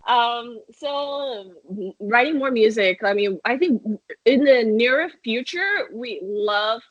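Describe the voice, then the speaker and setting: A woman's voice: medium pitch, fairly bright tone, no echo. A young woman from Malaysia, a metal band singer, answering interview questions in a chatty way full of fillers and restarts. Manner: chatty, many fillers and restarts, laughs often. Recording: dull lo-fi sound, dry.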